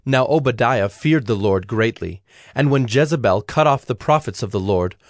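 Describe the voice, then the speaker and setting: A man narrating scripture from a script in a measured, solemn way. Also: mid-range voice, animated, no room sound, studio quality. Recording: music under the voice.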